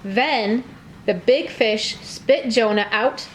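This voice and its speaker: A woman narrating a scripted story for children in a simple, clearly phrased read-aloud way. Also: fairly high pitch, slow, slight background noise, poor audio. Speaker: narrator of a children's church puppet show.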